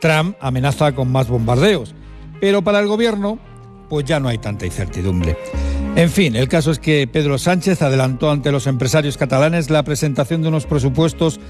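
A man's voice: a little high, lively intonation, normal speed, darkish tone, faint music behind. Wry male economics commentator. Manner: wry, ironic delivery. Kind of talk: scripted radio commentary.

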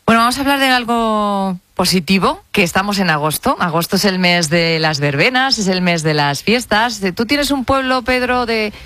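A woman's voice: mid-range voice, animated, normal speed, hardly any pauses, fairly bright tone, no echo. A woman with an accent from Spain hosting a radio talk show in a conversational style.

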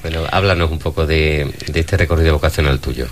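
A man's voice: rumbling low, steady tone, brisk, a little hiss or hum. A man hosting an interview who welcomes and introduces his guests. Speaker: host.

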